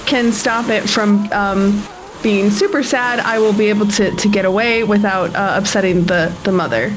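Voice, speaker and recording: A woman playing a tabletop roleplaying game, casually improvising her actions in a halting, nervous-sounding way. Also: mid-range voice, fairly even intonation, background noise. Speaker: tabletop RPG player.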